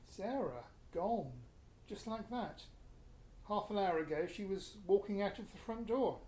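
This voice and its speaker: A man's voice: sits high, expressive, measured pace, mellow. A British actor playing a stunned middle-aged father, hesitant and understated, in a radio play. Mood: stunned, subdued. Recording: echoey room with faint noise.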